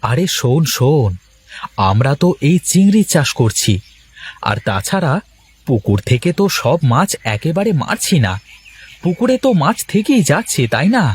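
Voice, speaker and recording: A man voicing a poor, excitable village fisherman in a folksy moral-story cartoon. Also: fairly high pitch, normal speed, husky. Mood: earnest, arguing.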